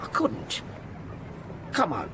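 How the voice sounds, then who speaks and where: A man's voice: takes it slowly, noisy background, close to an everyday voice. An actor playing a kindly, poor old ticket porter in a radio drama, stammering and repeating himself as he fusses. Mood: eager, coaxing. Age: older.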